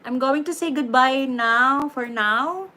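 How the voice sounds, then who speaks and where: A woman with a Filipino accent hosting a casual livestream, chatty and relaxed, giving advice and slipping in Tagalog words. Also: high-pitched, slow. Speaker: livestream host.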